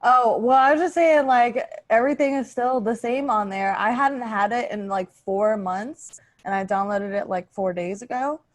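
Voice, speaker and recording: A woman's voice: on the high side, normal speed, few pauses. A woman hosting a podcast, chatting casually with a teasing, crude sense of humour. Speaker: podcast host. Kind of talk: casual improvised podcast chat.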